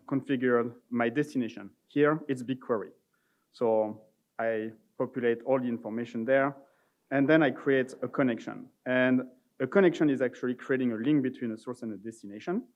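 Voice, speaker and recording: A man giving an informal, somewhat halting live product demo as a conference presenter. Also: medium pitch, a little mellow, highs cut off.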